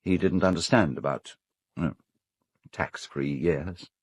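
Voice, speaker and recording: An actor with a British accent playing an urbane, cold, self-justifying gentleman in an audio drama, speaking in a measured, articulate, dryly ironic way. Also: rumbling low, slightly rough, no room sound, heavily acted. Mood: hesitant, polite.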